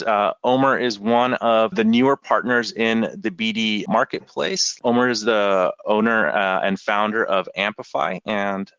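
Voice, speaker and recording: Male webinar host, low voice, loose delivery with many "uh"s, dry lo-fi sound. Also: smooth, no echo. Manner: relaxed, with frequent "uh" fillers. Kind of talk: software training webinar.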